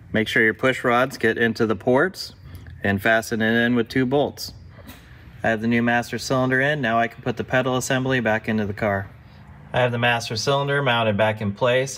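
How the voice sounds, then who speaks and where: A man's voice: medium pitch, fairly bright tone. A man narrating a car repair step by step, practical and instructive, as the host of his own workshop videos.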